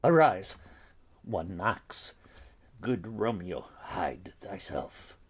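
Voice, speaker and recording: Shakespeare audio drama: exasperated holy friar played by a man, breathy husky voice, drawn-out deliberate phrasing, highly varied intonation. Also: big pitch swings, heavily acted. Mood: urgent alarm. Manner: slow, deliberate, dramatic, dropping into hushed breaths. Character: stern, exasperated male friar counselling a youth. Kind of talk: classical stage tragedy in audio.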